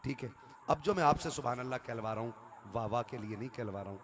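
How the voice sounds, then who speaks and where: A man's voice: mid-range voice, fast talker, noisy background. Man preaching to a gathering. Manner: conversational, calls on listeners to respond aloud. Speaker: Islamic preacher. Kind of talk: religious sermon to a live gathering, with recited verse.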